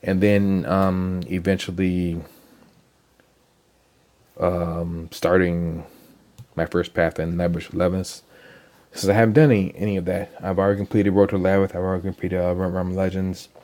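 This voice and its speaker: Young male gaming vlogger, deep mellow voice, hoarse with a cold, halting and rambling. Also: level tone. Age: young adult. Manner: rambling, halting, many ums and repeated words. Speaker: gaming channel host. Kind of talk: casual game account walkthrough.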